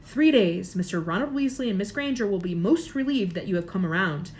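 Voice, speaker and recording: A woman voicing a kindly old male headmaster in a storybook reading, gentle, measured and formal. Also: mid-range voice, lively intonation, slight background noise, natural voice.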